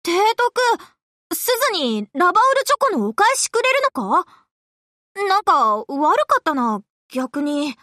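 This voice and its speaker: A woman's voice: high-pitched, very animated, unhurried, pushed and bright, dry recording, studio quality, exaggerated voice. A woman voicing a brash, casual, teasing young tomboy in an anime game, blunt and slangy. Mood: pleased, offhand.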